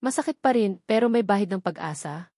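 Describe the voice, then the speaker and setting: A woman with a Filipino accent voicing a shy, wounded but sincere young man in an audiobook, speaking haltingly. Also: mid-range voice, animated, dark tone, no room sound, clean studio sound, lightly acted. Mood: hurt, unsure. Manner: hesitant, halting. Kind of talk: audiobook character dialogue.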